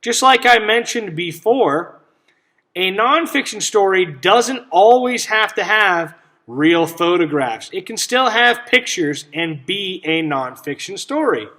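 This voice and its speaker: Man, high-pitched voice, bright tone, children's read-aloud, teacherly questions. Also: animated, slow. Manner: instructive, checks understanding with simple questions. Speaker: teacher reading a picture book aloud to children.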